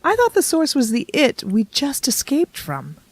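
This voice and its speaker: A woman's voice: high voice, huge pitch swings, moderate pace, dry recording, heavily acted. A woman playing a level-headed, inquiring companion in an audio drama, plain and to the point. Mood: stoic. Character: level-headed, questioning female companion to a time traveller.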